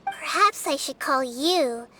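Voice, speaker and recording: A woman voicing a small, child-like, wise goddess who is calm and gentle, in video game acting. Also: high voice, slow, a little hiss or hum, full character voice. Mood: calm, probing.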